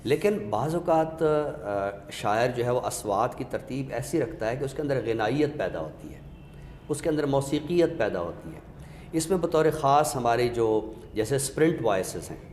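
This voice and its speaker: A man's voice: mid-range voice, lively intonation, a little mellow. Echoey Pakistani man explaining like a scholar. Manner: didactic, deliberate, pausing for emphasis. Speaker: literary scholar and guest speaker. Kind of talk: explanatory discussion of literature. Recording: echoey reverberant room with background noise.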